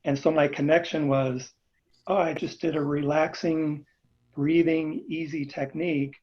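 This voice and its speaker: A man joining a video call as a participant, sharing a thoughtful reflection in an unhurried way. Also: a little high, steady tone. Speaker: workshop participant. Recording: dull lo-fi video call audio.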